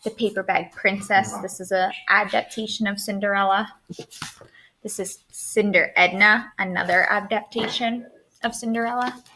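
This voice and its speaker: A woman's voice: medium pitch, steady tone, normal speed, sharp tone, slight background noise, low-quality recording. A woman giving a remote writing lesson as a schoolteacher, speaking directly to her pupils, explaining and asking questions. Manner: instructional, addresses listeners directly with questions. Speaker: elementary school writing teacher. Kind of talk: remote lesson with storybook read-aloud.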